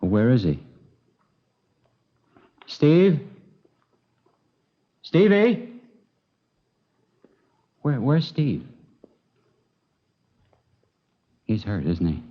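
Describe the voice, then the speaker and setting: A man's voice: mid-range voice, huge pitch swings, very slow, pauses often, heavily acted. An American man playing an anguished father of a young son in a 1950s radio drama, emotional and faltering. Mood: anxious, urgent. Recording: muffled old radio recording with music.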